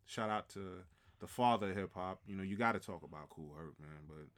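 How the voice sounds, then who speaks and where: A man co-hosting a podcast in casual chat, talking with enthusiasm and giving shout-outs. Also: sits low, a little fast. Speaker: podcast co-host.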